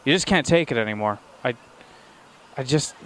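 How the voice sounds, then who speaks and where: A man's voice: medium pitch, lively intonation, moderate pace. A young man clowning as a news correspondent, interviewing cosplayers with a handheld mic in a busy convention hall. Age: young adult. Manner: brash, mock-reporter delivery. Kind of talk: comedic on-the-street interviewing.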